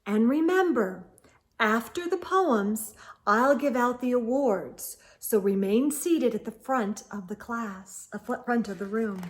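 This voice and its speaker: Woman reader as kindly woman teacher, slow pace, fairly high voice, patient, encouraging, storybook. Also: lively intonation. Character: kindly, composed woman schoolteacher addressing her class.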